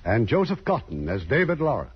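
A man's voice: medium pitch, wildly swooping pitch, fairly quick, husky. A man narrating a radio play from a script in formal, dramatic 1950s announcer style. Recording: dull old radio broadcast over music.

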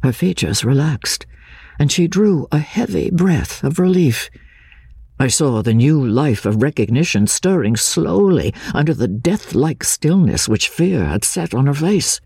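A man gives a dramatic reading of classic literature as an audiobook narrator. His husky voice moves widely in pitch with a measured, theatrical delivery, recorded very dry. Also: big pitch swings, darkish tone, no room sound.

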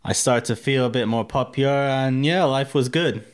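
A man's voice: medium pitch, moderate pace, clean tone. Candid man with a mixed accent. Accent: mixed Russian, American and English. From Russia. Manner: candid, profane, rambling with many fillers. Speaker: guest. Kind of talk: casual interview chat.

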